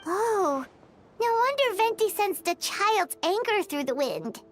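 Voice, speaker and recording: A woman voicing a tiny, excitable childlike fairy companion in a video game dub, squeaky and exclamatory. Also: slow pace, soft background music, full character voice. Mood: teasing, curious. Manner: exclamatory, talks about herself by name.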